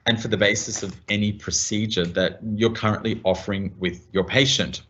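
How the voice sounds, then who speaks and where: An Australian man, a medical practitioner, giving a measured product demonstration to colleagues. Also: on the low side, muffled recording.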